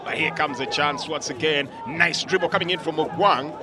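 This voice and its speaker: A man with a Ugandan English accent giving live football commentary, with flowery, theatrical phrasing and shouts at the big moments. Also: on the high side, normal speed. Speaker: play-by-play commentator. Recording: broadcast mix with loud crowd and music.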